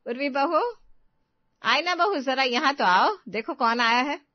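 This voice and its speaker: A woman's voice: medium pitch, lively intonation, moderate pace, dry recording, lo-fi sound, lightly acted. A woman voicing an older Indian mother-in-law, fond but fretful, exclaiming and questioning in a family story. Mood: calling fondly. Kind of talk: Hindi family story acting.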